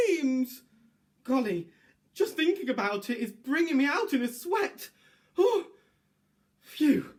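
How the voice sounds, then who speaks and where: A man voicing a frightened, excitable letter writer in a children's storybook, full of breathless alarm. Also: very high-pitched, pitched above the performer's own voice, full character voice. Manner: breathless exclamations, gasping alarm. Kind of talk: children's storybook read-aloud.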